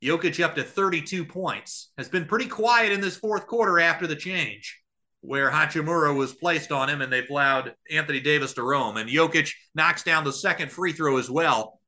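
Bright, forceful male basketball play-by-play announcer. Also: fairly high pitch, normal speed. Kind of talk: live radio basketball commentary.

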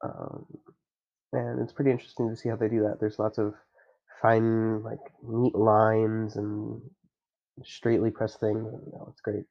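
A man's voice: fairly low pitch, completely flat delivery, a little slow, creaky, dull audio. A young man with a stuffy nose, chatting casually and rambling with lots of fillers as a podcast host. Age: young adult. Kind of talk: casual solo chat.

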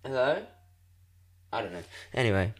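Young man, twenties, congested nose, lowish voice, rambling solo podcast, self-mocking, sweary. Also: lively intonation, normal speed. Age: 20s. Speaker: podcast host. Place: echoey room in a shared house. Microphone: desk microphone.

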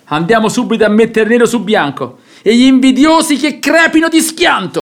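A man's voice: very high-pitched, lively intonation, normal speed. An actor playing a gullible, stubborn, hot-tempered rich nobleman in a radio drama, with an emphatic, impulsive delivery. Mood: defiant. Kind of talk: theatrical Italian radio drama acting.

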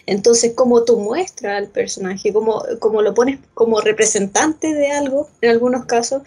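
A woman podcast guest giving a measured, explanatory opinion in a discussion. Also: fairly high pitch, steady tone, warm tone, slightly rough. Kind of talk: thoughtful discussion.

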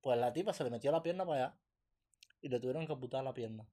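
A man's voice: medium pitch, fast, mellow. A man with a Cuban accent hosting a podcast in an informal, slangy, chatty way. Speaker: podcast host. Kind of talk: casual chat.

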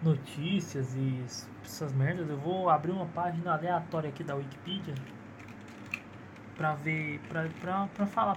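Brazilian man rambling cynically into a phone. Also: fairly high pitch. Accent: Brazilian Portuguese. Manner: rambling, cynical and profane, with self-mocking laughs. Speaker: podcast host. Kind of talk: casual solo podcast monologue. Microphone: phone held in one hand. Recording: noisy phone recording.

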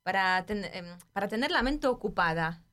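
A woman's voice: medium pitch, animated, moderate pace, a bit bright. Thoughtful woman, Polish native speaker. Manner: thoughtful, hesitant, many restarts. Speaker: literary translator, guest. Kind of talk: casual podcast conversation.

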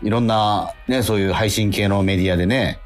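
A middle-aged man hosting a radio show in casual, animated, chatty talk with a co-host. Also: on the low side, brisk. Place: radio studio. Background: music.

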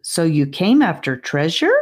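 A woman's voice: deep voice, very animated, unhurried, full character voice. A woman voicing an earnest, guarded young girl who keeps watch, in a storybook reading. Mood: suspicious, questioning.

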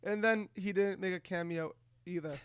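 A man's voice: high-pitched, fairly even intonation, normal speed, a bit bright, smooth. A man co-hosting a podcast with casual comedic banter, jokingly exasperated and given to swearing. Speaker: podcast co-host.

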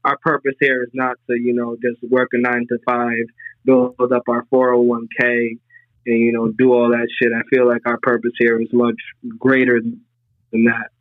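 A man's voice: medium pitch, monotone, normal speed, dry recording. A man in his twenties, a podcast guest, talking casually and rambling with lots of "you know" fillers over a remote line. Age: 20s. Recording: tinny phone-like remote line.

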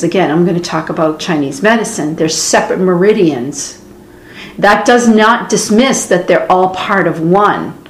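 Boston woman co-host, inquisitive thinker, roomy echo. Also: medium pitch. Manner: questioning, thinking aloud. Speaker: podcast co-host. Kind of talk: spiritual discussion. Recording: roomy echo, faint noise.

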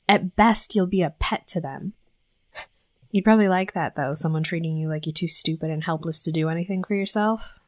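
Woman acting a tsundere werewolf college bully, young female, slightly low voice, scolding, sweary, secretly protective, audio roleplay, very dry sound. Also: no echo, lightly acted. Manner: scolding, sarcastic, sweary, exasperated. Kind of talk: scripted first-person roleplay audio acting.

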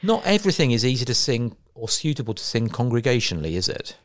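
A man's voice: medium pitch, big pitch swings, quick pace. A man interviewing in an informal, conversational way, often ending his points with tag questions. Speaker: interviewer, church leader.

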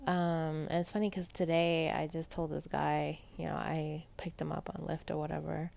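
A young woman with a soft, pleasant voice chatting casually and rambling in a personal podcast monologue, full of fillers. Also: deep voice, little pitch movement, normal speed, creaky. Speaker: podcast host.

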